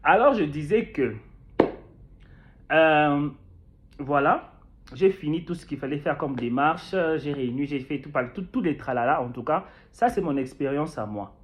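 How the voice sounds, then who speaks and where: A man's voice: fairly high pitch, expressive, measured pace, slight background noise. A young man from Kinshasa with a Congolese French accent, chatting to camera about his experience, with many fillers and restarts. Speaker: YouTuber sharing experience. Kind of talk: casual talk to camera. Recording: dull lo-fi sound.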